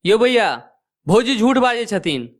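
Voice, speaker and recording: An extremely high-pitched, whiny younger brother from a village cartoon. He sounds naive and aggrieved, forever tattling, over faint background music. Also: lively intonation, a little slow. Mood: accusing. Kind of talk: cartoon comedy dialogue.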